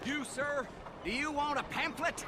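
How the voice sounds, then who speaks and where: An actor playing a zealous, indignant bigot preaching on the street in a video game, theatrical and pompous. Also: male voice, very high-pitched, a little slow, background noise. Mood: fervent, preachy. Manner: pompous, theatrical soapbox oratory.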